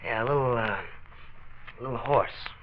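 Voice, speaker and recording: A man's voice: medium pitch, moderate pace, natural voice. An actor playing a sly, offhand teenage boy who coaxes friends toward drugs in a 1940s radio drama. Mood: sly coaxing. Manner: coaxing, offhand. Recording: muffled, noisy old radio recording.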